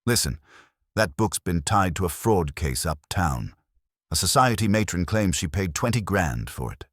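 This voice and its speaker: A man voicing a blustering, gruff police inspector in an audiobook, blunt and accusatory. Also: low-pitched, sing-song, moderate pace, a bit bright, dry recording, clean studio sound, full character voice. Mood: threatening.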